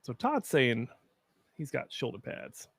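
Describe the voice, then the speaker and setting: A young man with a deep, gravelly voice hosts a live watch party, talking loosely and sharing opinions. His speech is full of fillers. The sound is close and dry, like a desk mic in a small room at home. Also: big pitch swings, slow, dry recording. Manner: chatty, opinionated, frequent fillers. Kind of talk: casual live-stream commentary.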